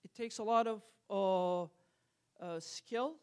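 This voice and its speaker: A man from Turkey with a Turkish accent, hosting an art exhibit reception and giving an earnest, halting welcome speech at a school gallery. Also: high-pitched, clean tone. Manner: earnest, halting, many fillers and restarts.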